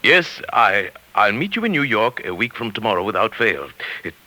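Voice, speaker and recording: An actor playing a meek, middle-aged henpecked husband who secretly schemes, in 1940s radio drama style. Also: male voice, lowish voice, big pitch swings, moderate pace, bright, forceful tone, full character voice, rough recording. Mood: furtive, tender. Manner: meek deference with simmering resentment. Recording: 1940s radio broadcast with background music.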